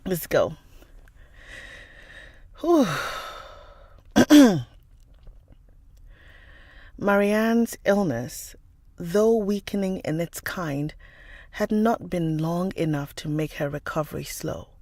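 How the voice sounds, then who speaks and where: A woman with a stuffy, nasal voice from a cold, chatting warmly with livestream viewers and calling them darling, then reading a novel aloud. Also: fairly low pitch, lively intonation, drawn-out words, long pauses, no echo, studio quality. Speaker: livestream book reader.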